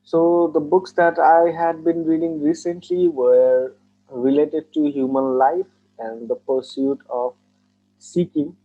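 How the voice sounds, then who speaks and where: A man's voice: a little high, fairly even intonation, slow pace, warm tone. A young man in his twenties from Nepal, a native Nepali speaker, answering mock speaking-test questions in earnest, formal phrasing with frequent restarts. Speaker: test candidate. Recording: tinny phone-like call audio.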